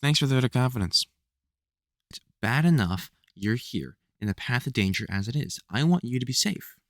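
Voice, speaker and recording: Audio drama casting: male actor as seasoned vampire hunter, mid-range voice with animated swings, sardonic and protective mentor. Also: medium pitch, normal speed, no echo, clean studio sound, lightly acted. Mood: focused, wry. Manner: colloquial, sardonic, scolding mentor with mild swearing. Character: gruff, protective veteran male vampire hunter, exasperated but fond. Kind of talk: scripted audio roleplay, one-sided conversation.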